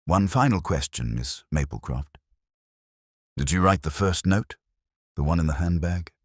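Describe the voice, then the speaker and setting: A man's voice: low voice, expressive, unhurried, dry recording, clean studio sound, pitched above the performer's own voice. A man voicing a polite, shrewd police inspector in a mystery story, questioning in an understated, courteous way. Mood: gently insistent.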